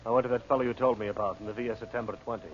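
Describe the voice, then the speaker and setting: A man's voice: medium pitch, fairly even intonation, rapid-fire, close to an everyday voice. An actor playing a cocky, wisecracking impostor and reluctant henchman in an old radio drama, breezy, slangy and sardonic. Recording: dull, noisy old radio recording.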